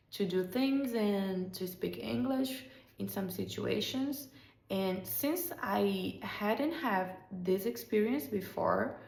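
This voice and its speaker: A young Brazilian woman, a teacher, speaks English with a Brazilian Portuguese accent, slowly and carefully. Her voice has a gravelly, creaky edge. The sound is echoey, as if recorded in a bare room. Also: medium pitch, a little mellow. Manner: slow, deliberate phrasing with short repeated sentences. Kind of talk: slow spoken English lesson podcast.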